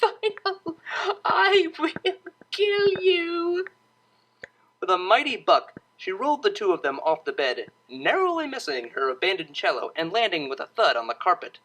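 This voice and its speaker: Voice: medium pitch, sing-song, fairly quick, hardly any pauses, pushed and bright, slight background noise, rough recording. A narrator reading a piece of fiction aloud as scripted, dramatic audiobook storytelling.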